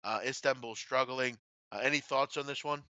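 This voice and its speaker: A man with a bright, forceful voice hosts casual sports betting talk. He is blunt and happy to swear, often breaking off into asides. The sound is very dry and slightly dull. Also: medium pitch, fairly even intonation, normal speed, smooth, no room sound. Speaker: sports betting show host.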